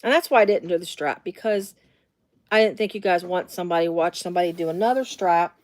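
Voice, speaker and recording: A woman's voice: lowish voice, fast talker, dry recording. A middle-aged woman, hosting a casual live sewing demonstration from her home sewing room and rambling into side stories with lots of fillers. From Midwestern US. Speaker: sewing tutorial host.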